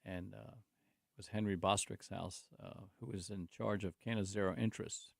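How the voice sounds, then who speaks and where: Gravelly older man, low voice. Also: fairly even intonation, moderate pace, no echo, studio quality. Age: 60s. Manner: rambling storytelling with many restarts and fillers; speaks openly about faith. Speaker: guest, construction company owner. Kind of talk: casual talk show conversation.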